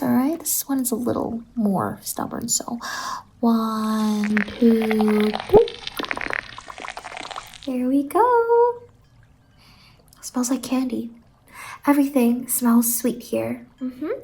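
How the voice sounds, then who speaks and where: A woman playing a quirky, doting fantasy salon worker in an ASMR roleplay, speaking softly and slipping in and out of whispers. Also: on the high side, vocal fry. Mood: playful, reassuring. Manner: soft-spoken, drifting in and out of whispers. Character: Mazey, quirky doting female fever-dream salon worker.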